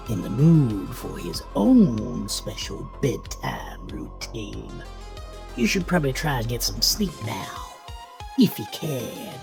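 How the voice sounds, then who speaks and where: A man playing a gleefully sinister, avuncular horror host in a retro audio drama, with a gravelly voice, mock-folksy menace and drawn-out phrases that sink toward whispers. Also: lowish voice, exaggerated voice. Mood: sinister relish. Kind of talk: theatrical campy horror host narration. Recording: prominent music behind the voice. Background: music.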